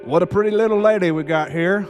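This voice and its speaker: A man voicing an excitable Australian wildlife presenter who gushes over animals in a video game. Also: sits high, fast, soft background music, close to an everyday voice, low-quality recording. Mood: gushing. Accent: put-on Australian. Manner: excitable, gushing.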